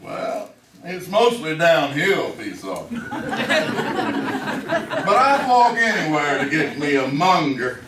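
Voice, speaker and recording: A brazen hillbilly gunman in an old radio Western, sly and mocking. His Ozark drawl is very slow and swings widely in pitch. It sounds roomy, as on an old recording. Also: big pitch swings, far from the mic, noisy background. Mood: sly, cocky. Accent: American Ozark hillbilly. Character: brazen, sly male backwoods feudist bent on killing. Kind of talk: 1950s Western radio drama acting.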